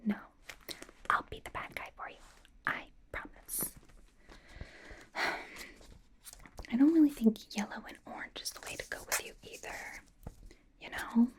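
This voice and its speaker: Woman doing a whispery young kpop idol girl, slow and soft, gushing over you like a stylist, asmr roleplay. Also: a little high, natural voice. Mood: gentle, teasing. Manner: gushing, casual, slow, with repeated soft reassurances. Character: bubbly, fawning young female K-pop idol as personal stylist.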